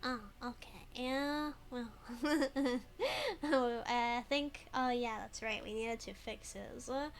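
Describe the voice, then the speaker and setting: A woman's voice: on the high side, steady tone, slow. A woman commenting casually on a video game, giggling often and hesitating a lot. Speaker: game streamer. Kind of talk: casual let's play commentary.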